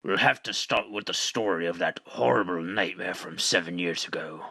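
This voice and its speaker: Man acting low, gravelly, pompous space center director. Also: fairly even intonation, normal speed, sharp tone, heavily acted. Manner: theatrical, declamatory. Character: pompous older male space center director, secretive and rattled. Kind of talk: video game fandub acting. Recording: amateur recording with music.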